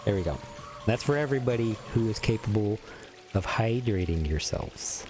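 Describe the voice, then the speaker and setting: A man's voice: lowish voice, expressive, moderate pace, music in the background. Joking forty-year-old man streaming games. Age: 40s. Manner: joking, crude, mock-serious banter. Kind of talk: casual gaming stream chat.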